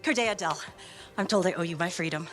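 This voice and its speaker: A woman voicing a composed, wondering noblewoman freed from captivity, in measured video game cutscene dialogue. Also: fairly low pitch, soft background music, natural voice. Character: composed noblewoman, dazed after long captivity.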